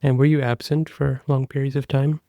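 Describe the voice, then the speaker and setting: A man, a physician hosting a podcast, reading a formal introduction and asking interview questions in a measured way. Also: medium pitch, little pitch movement, warm tone, no room sound.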